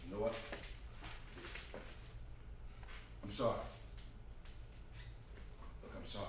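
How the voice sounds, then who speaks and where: A man acting a gruff but caring older brother, a hardworking mechanic, in a staged reading, talking in a colloquial, exasperated way. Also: on the low side, steady tone, normal speed, close to an everyday voice. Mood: apologetic. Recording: roomy, distant mic, loud background noise.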